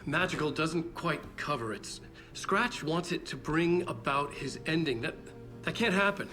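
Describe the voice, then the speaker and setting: Haunted male writer, game drama, troubled and desperate, slightly high voice over prominent music. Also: expressive, pushed and bright. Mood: urgent, troubled. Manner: halting, troubled, repeats words. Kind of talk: dramatic video game acting, narration and dialogue.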